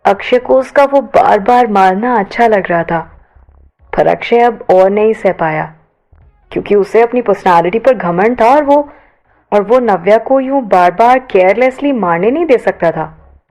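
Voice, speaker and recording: A woman's voice: mid-range voice, lively intonation, a little slow, a little mellow, poor audio. A woman narrating a scripted romance audio story expressively, mixing English words into her Hindi. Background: background music.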